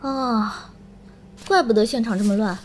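A woman playing a sharp, chatty forensic examiner in an audio drama, conversational and expressive. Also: a little high, a little slow, slight background noise, close to an everyday voice. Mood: curious, analytical. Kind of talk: Chinese audio drama acting.

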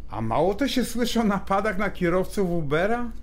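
A polite neighbour in a radio play. His intonation is lively and he talks colloquially, slipping in English words, full of curiosity. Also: high voice, brisk. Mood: surprised, teasing. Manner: hesitant, colloquial, mixing in English. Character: Piotr, polite, curious male neighbour dropping by. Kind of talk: audio drama dialogue.

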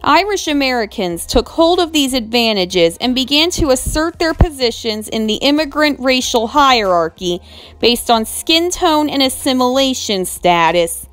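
Woman host, scripted history lesson voice-over, fairly high and animated pitch, soft music underneath. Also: no room sound. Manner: reads from a script, measured and explanatory, with asides to viewers. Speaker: educational video host. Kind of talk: scripted narration over slides.

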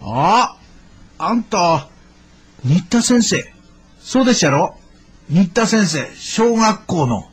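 Husky Kansai shopkeeper dad. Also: male voice, high voice, sing-song, takes it slowly, long pauses, full character voice. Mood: delighted, grateful. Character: middle-aged shopkeeper father, effusively polite to old teacher. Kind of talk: radio drama acting.

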